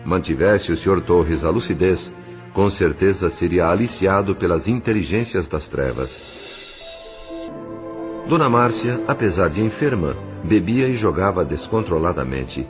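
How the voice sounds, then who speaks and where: A Brazilian man narrates an audio novel in a very low voice. His delivery is solemn and measured, with literary phrasing. Music plays clearly beneath him, and the recording is a bit dull. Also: animated. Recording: dull sound with music underneath. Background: background music.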